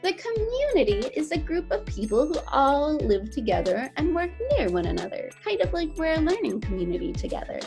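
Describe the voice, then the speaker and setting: Animated woman reading aloud to children. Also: high-pitched, a little fast, vocal fry, hardly any pauses, music in the background. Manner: animated, encouraging, explains things simply to children. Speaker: children's teacher. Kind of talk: picture book read-aloud for young children.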